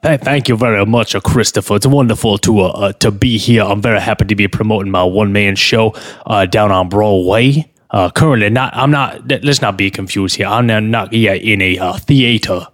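Man doing a hesitant stammering street performer, lowish voice, lots of uh fillers, improv comedy. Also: close to an everyday voice. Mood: bumbling. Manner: halting, stammering, full of filler sounds. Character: bumbling hesitant man promoting street-corner one-man show.

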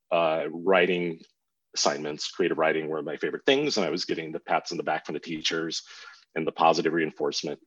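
A middle-aged American man with a husky voice, telling stories about himself as an interview guest in a casual, rambling, self-deprecating way. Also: deep voice, a bit bright. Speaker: guest author. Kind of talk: reflective interview storytelling. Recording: dull, roomy lo-fi sound.

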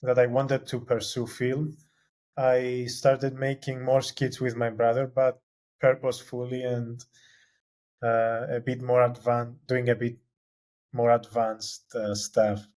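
Slow, flat-voiced Greek-native man. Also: mid-range voice. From Cyprus. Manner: hesitant, many "uh" fillers and restarts. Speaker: guest, film graduate. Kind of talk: casual interview answers.

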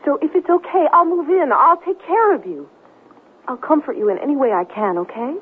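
An actress playing an earnest, soft-hearted young working woman in a radio drama, with a husky, plain-spoken delivery. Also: high-pitched, fairly quick, close to an everyday voice. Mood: tender, concerned. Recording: thin, muffled 1970s radio drama recording.

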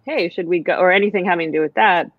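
An American woman speaks as a guest, thoughtful and halting, full of restarts as she searches for words. Her voice sits a little low. The recording is dry and close but somewhat dull, like a muffled audio track. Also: no echo. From United States. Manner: reflective, hesitant with many fillers. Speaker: guest, writer. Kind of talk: casual conversation.

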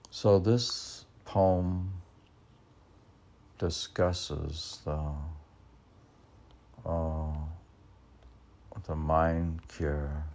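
A man with an extremely deep voice full of creak and gravel rambles through a podcast. He takes his time, halting and stretching his phrases. His tone is husky, and thoughts often trail off as he muses like a poet. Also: darkish tone. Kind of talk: rambling podcast musing, asking and answering himself.

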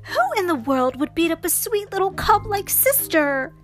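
A woman's voice: very high voice, big pitch swings, normal speed, soft background music, voice pushed up. A woman voicing a concerned, sensible and firm mother bear, caring and instructive, in a storybook reading.

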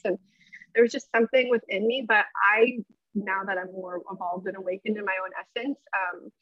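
Bubbly, energetic woman guest. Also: medium pitch, dry recording, muffled recording. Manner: bubbly, energetic, many "like" fillers. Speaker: podcast guest, workshop leader. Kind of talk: casual spiritual chat.

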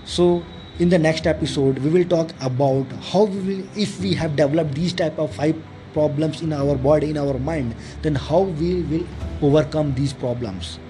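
An Indian-accented man, a native Hindi speaker, talks in a slightly high voice over clearly audible music. He delivers an informal self-help monologue, hammering points through repetition and lists in somewhat halting English. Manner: repetitive, emphatic listing of points; halting, non-fluent English. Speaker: podcast host. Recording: music playing behind the voice. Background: clear background music.

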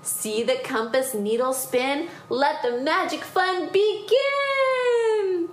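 A woman's voice: a little high, expressive, measured pace, pitched above the performer's own voice. A woman voicing a jolly, adventurous grandfather in a children's storybook, hearty and exclamatory. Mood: magical, chanting. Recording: echoey room, faint background noise.

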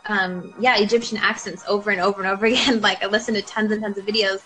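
A woman's voice: medium pitch, fairly even intonation, quick pace, fairly bright tone, soft background music, low-quality recording. A young woman voice actor chatting casually in an interview, giggling often and filling her talk with likes and ums. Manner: chatty, giggly, many fillers. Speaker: voice actor guest.

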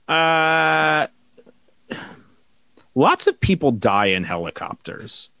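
A man's voice: fairly high pitch, sing-song, creaky, pushed and bright, clear, resonant tone, no room sound, rough recording. A man co-hosting a podcast, rambling and joking in casual chat, stretching words out for comic emphasis. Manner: playful, rambling, drawn-out jokey emphasis. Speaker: podcast co-host.

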